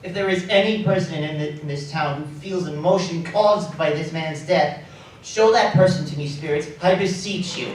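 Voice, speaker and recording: An actor playing a frightened, remorseful old miser in a live radio drama, beseeching and theatrical. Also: male voice, a little high, normal speed, lightly acted. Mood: pleading. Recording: echoey live stage, distant mic, faint noise.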